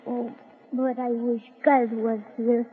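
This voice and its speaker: Innocent, wistful small boy, childlike and slightly husky, for vintage radio fantasy with muffled, tinny sound. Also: fairly high pitch, little pitch movement, slow. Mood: wistful, worried. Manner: childlike, earnest, naive wording. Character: polite, innocent, wistful little boy seeking his teddy. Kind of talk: old-time children's radio fantasy acting.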